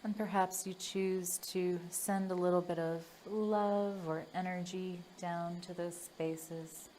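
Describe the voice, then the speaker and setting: A woman leading a guided meditation in a gentle, soothing manner, leaving long pauses between phrases. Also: fairly low pitch, steady tone, a little hiss or hum.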